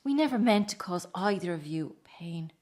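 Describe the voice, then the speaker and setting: A middle-aged woman acting in a radio drama as a remorseful, hurt estranged wife and mother, speaking in an emotional, halting, confessional way. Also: fairly low pitch, animated, a little mellow. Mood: apologetic.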